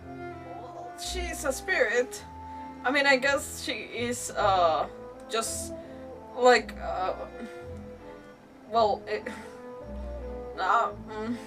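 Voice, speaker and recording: A woman's voice: on the high side, extremely slow, pauses often, far from the mic, over music, poor audio. A woman live-streaming a game, commenting in an informal, reactive way and rambling through her explanations. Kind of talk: casual live let's-play commentary.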